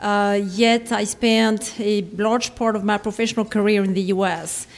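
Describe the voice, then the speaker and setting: A woman with a French accent addresses a conference panel in easy, conversational English. She drops in "um" and "you know" as she goes, keeping a friendly tone at a middle pitch. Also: little pitch movement, normal speed. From France. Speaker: company vice president, panelist.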